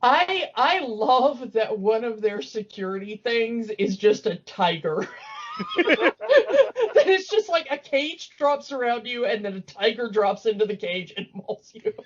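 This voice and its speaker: A woman with a fairly high voice chats casually as a podcast co-host. She rambles through cartoon recaps in an amused way, and the recording is slightly dull. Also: big pitch swings, measured pace.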